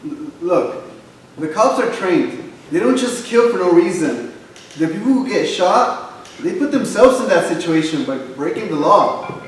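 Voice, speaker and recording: High-pitched skeptical young guy, very echoey man acting. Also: big pitch swings, unhurried. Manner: casual, argumentative. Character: skeptical young man casually defending the police. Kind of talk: staged reading of a play. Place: theater hall, recorded from the audience. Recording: roomy, distant stage recording with faint noise.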